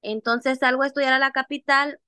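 Higher-pitched woman with a Costa Chica accent. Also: fairly quick, no echo. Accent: Costa Chica Mexican Spanish. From Costa Chica, Mexico. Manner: animated, acts out remembered dialogue. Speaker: interview guest, activist. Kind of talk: personal storytelling in conversation.

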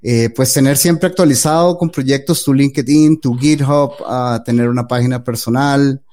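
A man's voice: mid-range voice, fairly even intonation, moderate pace, dark tone. Hesitant man giving earnest advice. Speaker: guest developer. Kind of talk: casual interview answers.

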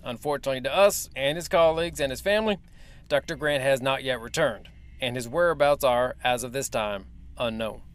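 An actor playing a male military major who briefs the press in a formal, measured and evasive way. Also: medium pitch, smooth, close to an everyday voice. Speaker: official spokesman. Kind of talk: audio drama acting.

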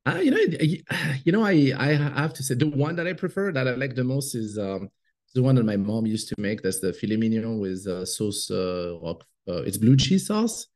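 A man's voice: mid-range voice, animated, normal speed, darkish tone. A man with a French accent, chatting casually as a podcast guest, hesitant with frequent fillers and laughs. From France. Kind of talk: casual interview chat.

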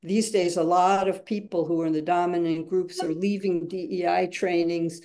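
A woman's voice: lowish voice, fairly even intonation, moderate pace, mellow. A woman speaking as a guest facilitator in a webinar, explaining emphatically and acting out examples as she goes.

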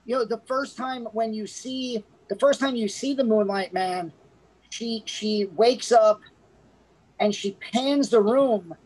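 A woman's voice: fairly high pitch, low-quality recording. A woman co-hosting a casual movie review podcast, talking eagerly and thinking aloud as she goes. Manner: chatty and enthusiastic, thinking aloud. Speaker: podcast co-host.

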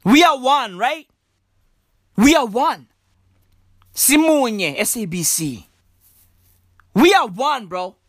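A woman's voice: on the high side, lively intonation, slow pace, pauses often, no echo, clean studio sound. A woman doing a stand-up comedy rant, emphatic and profane, full of slang and repeated punchlines. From South Africa.

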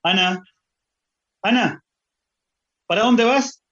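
A man's voice: high-pitched, takes it slowly, fairly bright tone. A man with a Chilean accent playing an anxious, exasperated father in a radio drama. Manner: anxious, questioning. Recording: dull, lo-fi sound.

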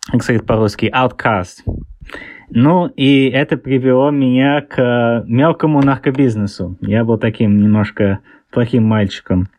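A man in his thirties with an English-tinged Russian accent, a guest author answering interview questions in a halting way, often pausing to search for words. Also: medium pitch, a little mellow, dry recording. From born in Russia, raised in England. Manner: hesitant, searches for words, many fillers.

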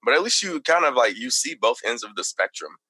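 A man's voice: mid-range voice, very animated, fairly quick, sharp tone, breathy edge, no room sound. A young man from Texas, a podcast guest chatting casually and filling his talk with "like" and "you know.". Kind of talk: casual conversation.

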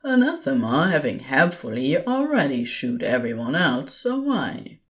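Low-voiced, expressive woman storyteller. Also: unhurried. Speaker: narrator. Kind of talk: scripted fan fiction narration.

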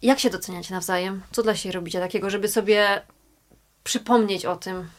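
A woman's voice: mid-range voice, fairly even intonation, a little fast. A woman interviewer who reflects on what her guests said and then asks them questions. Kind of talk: casual interview.

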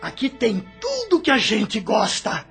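A man's voice: high-pitched, huge pitch swings, unhurried, fairly bright tone, slightly rough, exaggerated voice. A man voicing a menacing, mocking male spirit in a radio drama, drawling his taunts with cackling mirth. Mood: mocking, gleeful. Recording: music behind the voice.

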